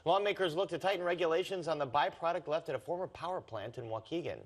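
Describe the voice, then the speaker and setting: A man's voice: a little high, fast talker. A man anchoring a local news show, delivering a polished scripted sign-off.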